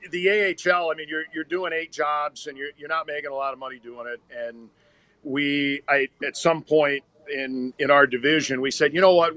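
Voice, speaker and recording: A man's voice: fairly high pitch, bright, forceful tone, dry recording, poor audio. An American man, a radio hockey announcer, talking and telling stories conversationally as a podcast guest. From Minnesota, United States.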